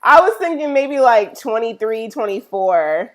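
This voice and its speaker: A woman's voice: on the high side, very animated, a little slow. A woman, co-hosting a podcast and chiming in with agreement and playful teasing. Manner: supportive listener, frequent soft agreement, playful teasing. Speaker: podcast co-host. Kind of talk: casual chat.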